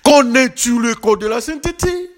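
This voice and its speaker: A man's voice: extremely high pitch, expressive, normal speed. A man preaching a sermon with emphatic, building repetitions and rhetorical questions, slightly congested from a cold.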